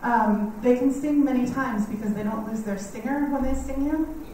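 A woman in her thirties with a quiet, slightly scratchy voice gives a friendly, chatty talk in a lecture hall, laughing often. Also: fairly high pitch, moderate pace. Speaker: guest speaker on native bees. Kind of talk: community lecture. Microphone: standing mic heard from a distance. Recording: echoey hall, mic far off, faint noise.

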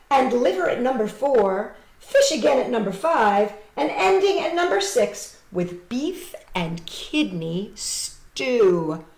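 Animated high-pitched woman children's storyteller. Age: middle-aged. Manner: animated, exclaiming, addressing children directly. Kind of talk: live storytime for young children. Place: small living room with couch, indoors. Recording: roomy webcam sound.

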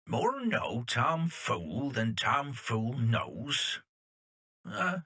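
A man's voice: mid-range voice, very animated, extremely slow, pushed and bright, husky, no echo, clean studio sound, full character voice. A man playing a gossipy middle-aged hostler in an audio drama, with a drawling West Country accent. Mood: amused, puzzled. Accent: English West Country.

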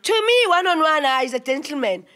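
A woman's voice: sits high, sing-song, fairly quick, sharp tone. A woman of about forty with a South African accent, chatting casually as a host in a blunt, teasing way. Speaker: podcast host. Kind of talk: casual, playful chat. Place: indoor lounge, on a sofa. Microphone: handheld microphone.

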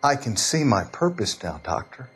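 An actor playing a deranged, dreamy arsonist who speaks deliberately and with eerie messianic calm, in a crime game. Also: male voice, medium pitch, loud music behind the voice, close to an everyday voice. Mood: zealous. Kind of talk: dramatic period crime game acting.

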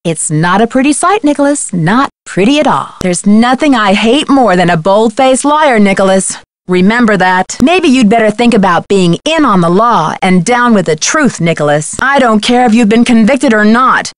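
A young woman voice actor playing a plucky, sharp-witted teenage girl detective in a video game, articulate and inquisitive. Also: a little high, expressive, moderate pace, dry recording, lightly acted. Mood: stern, confrontational. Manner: crisp, articulate, spirited, inquisitive.

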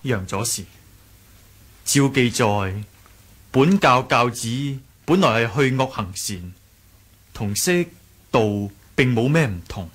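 Casting: young martial hero leading a sect, modest, courteous, slightly rough-edged voice, Hong Kong Cantonese, vintage radio drama. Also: male voice, medium pitch, expressive, slow pace, pauses often, a bit bright, full character voice. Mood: earnest, questioning. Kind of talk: 1980s radio drama acting.

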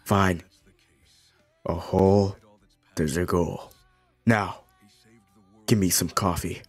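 A man voicing a brooding, cocky cartoon hedgehog in a curt, deadpan, husky amateur comic voice-over. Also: sits low, extremely slow, long pauses, soft background music, full character voice. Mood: grumpy. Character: brooding, cocky male hedgehog, ultimate life form.

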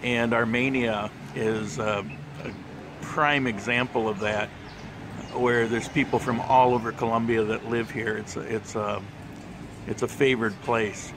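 A man talking through a casual walking travel vlog in a shopping mall, relaxed and chatty with plenty of fillers. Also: mid-range voice, unhurried. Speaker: travel vlogger. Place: outdoor mall terrace and shopping center. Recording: handheld camera with street and mall noise.

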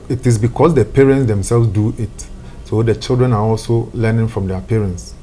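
Mellow-voiced Ghanaian man with faint background noise. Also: medium pitch. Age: middle-aged. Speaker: fire service public relations director, interviewee. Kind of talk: formal interview statement. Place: office room indoors.

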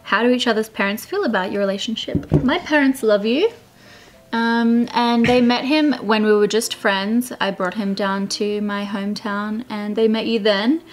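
Frank, joking Australian woman in her twenties. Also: a little high. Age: 20s. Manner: frank, laughing, quick and joking. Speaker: beauty vlogger answering viewer questions. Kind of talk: casual chat. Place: small indoor room in front of a plain wall.

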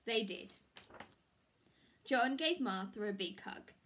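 A woman in her thirties reads a picture book to young children in a teacherly, expressive style. Her voice is fairly high and very unhurried. She is recorded in a small room at home. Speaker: primary school teacher reading a story.

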